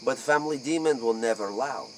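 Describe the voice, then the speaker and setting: A man playing a secretive vampire clan member in a comic interview, speaking halting, repetitive broken English. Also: medium pitch. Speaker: interview guest in character. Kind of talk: improvised comic role-play interview. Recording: noisy, crickets and insects behind.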